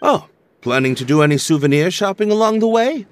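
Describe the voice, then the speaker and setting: A man's voice: high-pitched, expressive, brisk, a little hiss or hum. A man playing an upbeat, obliging young man who is eager to help, in acted video game dialogue. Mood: eager, confident.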